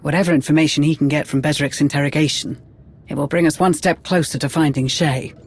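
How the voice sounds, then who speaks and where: An earnest military adviser, with a fairly high, slightly husky voice. He talks formally and with concern, as a dutiful, uneasy aide in a dramatic game cutscene. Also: steady tone, fast talker. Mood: businesslike.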